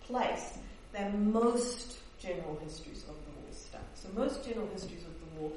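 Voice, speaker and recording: A woman's voice: medium pitch, expressive, normal speed, mellow. A woman historian, Dutch-born and raised in New Zealand, presenting her book in an academic talk, hesitant with many fillers and restarts. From Netherlands, raised in New Zealand. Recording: echoey hall, distant mic, faint hiss.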